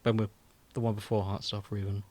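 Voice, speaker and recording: A man's voice: fairly low pitch, level tone, quick pace, dark tone, no echo. A man co-hosting a casual podcast, with a soothing voice, leading the chat with jokes. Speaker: podcast co-host.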